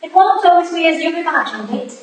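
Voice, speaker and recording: A woman acts a loyal young protégée in a stage drama, earnest and composed, with a high voice. The recording is distant, very echoey and faintly noisy. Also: sing-song, fast. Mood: earnest concern. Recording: echoey hall, distant mic, faint noise.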